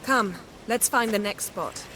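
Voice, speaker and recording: A woman's voice: fairly high pitch, background noise, natural voice. A woman voicing a composed, commanding sorceress. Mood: brisk. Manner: brisk, instructive. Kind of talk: game cutscene dialogue. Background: loud game score music.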